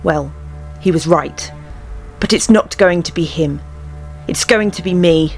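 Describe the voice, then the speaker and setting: A woman's voice: fairly low pitch, vocal fry, faint music behind. A woman playing a proud, resolute young warrior queen in a fantasy fandub, speaking firmly and solemnly. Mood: cold resolve. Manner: firm, solemn, deliberate. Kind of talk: fantasy audio drama fandub acting.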